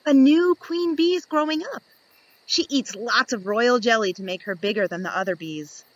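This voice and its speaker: A woman reading a picture book aloud to young children, expressive and instructive, putting questions to her listeners and answering them. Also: fairly high pitch, normal speed, no echo, poor audio.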